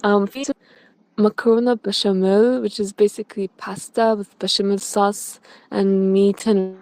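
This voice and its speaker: A woman's voice: medium pitch, measured pace, dry recording, rough recording. Guest spot: young woman from egypt, student, easygoing, dry close sound. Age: young adult. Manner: relaxed, searches for words, light laughs. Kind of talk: casual student interview. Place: at home.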